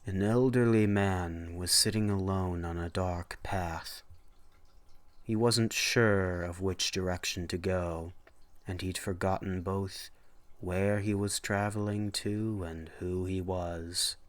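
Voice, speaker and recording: A man hosting and narrating horror story readings, with deliberate, halting phrasing that moves between casual introduction and dramatic reading. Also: low-pitched, fairly even intonation.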